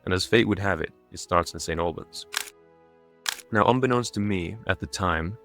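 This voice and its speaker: A young man in his twenties speaks in a low-pitched voice, with faint music underneath. He narrates a personal video from a script, with a lightly self-mocking, tongue-in-cheek touch. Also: moderate pace, a bit bright. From born and raised in Dubai in a Croatian family, moved to England as a child. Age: 20s. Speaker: video creator.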